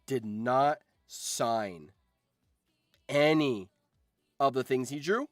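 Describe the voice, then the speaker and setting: A man's voice: mid-range voice, drawn-out words, no echo, clean studio sound, soft background music. A man chatting casually on a livestream, telling anecdotes in an informal, sweary, enthusiastic fan's way. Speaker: streamer and voice actor.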